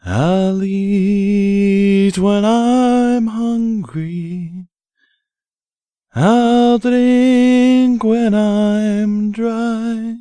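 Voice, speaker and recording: A singer delivering a slow, unaccompanied folk ballad in a smooth, clear voice. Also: medium pitch, fairly even intonation, mellow, dry recording, faint music behind.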